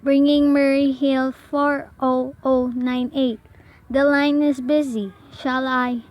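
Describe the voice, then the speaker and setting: A woman's voice: sits high, level tone, takes it slowly, a little mellow, no echo. A woman playing a polite, patient, formulaic telephone operator in an amateur radio play. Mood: polite, procedural. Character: polite, formal, unhelpful female telephone operator.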